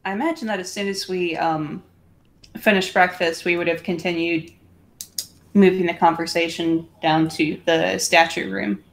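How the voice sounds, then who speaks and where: A woman's voice: fairly low pitch, steady tone, normal speed. A woman playing a tabletop roleplaying game, chatting casually and improvising as she goes.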